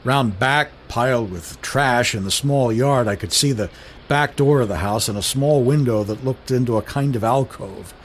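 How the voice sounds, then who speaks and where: A man's voice: medium pitch, normal speed, slight background noise, natural voice. An actor playing a wry, observant 1930s everyman who narrates a radio drama in colloquial, musing first person. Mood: observant, measured.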